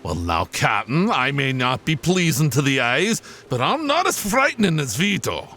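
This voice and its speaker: A bashful, good-hearted Scottish gunner aboard a sailing ship. His mid-pitched voice swoops up and down as he hesitates and feels for words. Music plays behind this audio drama performance. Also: sing-song, sharp tone, heavily acted. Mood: hesitant, bashful. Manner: halting, hesitant, stammering. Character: kindly, bashful ship's master gunner.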